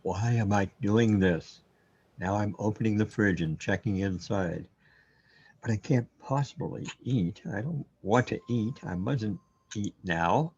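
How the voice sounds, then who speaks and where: An actor playing a troubled, bewildered man in a surreal sci-fi radio drama, hesitant and halting as he muses aloud. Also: on the low side, darkish tone, slightly rough, lo-fi sound. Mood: distressed. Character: troubled, bewildered man overwhelmed by cosmic reality.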